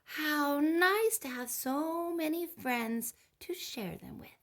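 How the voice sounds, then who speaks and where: A woman voicing a kindly, delighted grandmother in a picture story, exclaiming slowly. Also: high-pitched, lively intonation, pitched above the performer's own voice.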